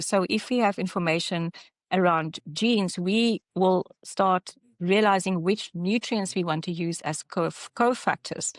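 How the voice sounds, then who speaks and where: A woman with a South African accent, a clinician explaining slides in an online webinar, halting, with fillers and self-corrections. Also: on the low side, dry recording.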